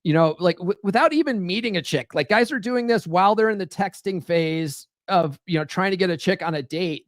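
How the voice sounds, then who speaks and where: A man with a fairly high voice runs a podcast monologue in a loose, profane, rambling way. He leans on fillers and keeps tossing in "right?" to the listener. The sound is dry and close, with almost no room around it. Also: dry recording. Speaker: podcast host. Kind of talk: casual live-stream monologue.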